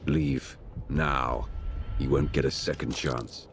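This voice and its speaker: A man's voice: extremely low pitch, steady tone, slow pace, faint music behind, exaggerated voice. An actor playing a cool, menacing male game hero who speaks tersely with a dry, teasing streak. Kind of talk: video game cutscene acting.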